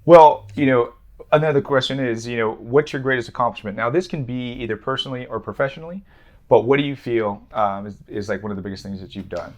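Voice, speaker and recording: A man's voice: mid-range voice, quick pace. A man hosting a podcast in a casual, slangy interview style, chatting informally with his guest. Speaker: podcast host interviewing entrepreneurs.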